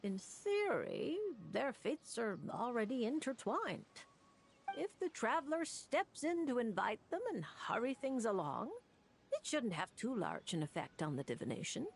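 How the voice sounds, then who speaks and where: A woman's voice: a little high, very animated, measured pace, vocal fry, a little hiss or hum, heavily acted. A woman playing a brusque, scholarly, easily exasperated diviner in a video game, dry and fussy. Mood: pondering. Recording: music behind the voice.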